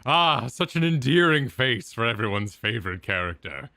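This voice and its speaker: Very bright, lively man chatting on stream. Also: mid-range voice, normal speed, gravelly, dry recording, studio quality. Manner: joking, informal. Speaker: co-host of a gaming reaction stream. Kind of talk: casual chat reacting to game cutscenes.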